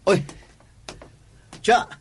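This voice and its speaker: An actor with a Cebuano Filipino accent playing an anxious, stammering working-class husband in a radio drama. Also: male voice, drawn-out words, slight background noise. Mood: uneasy, puzzled. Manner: stammering, hesitant. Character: anxious working-class condo worker, husband worried about wife's debts.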